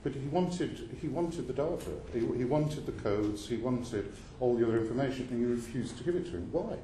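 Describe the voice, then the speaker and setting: A man's voice: medium pitch, lively intonation, fairly quick, dark tone. A man questioning a witness at a parliamentary committee hearing, pressing and insistent, stumbling and restarting his sentences. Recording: roomy hall sound with faint noise.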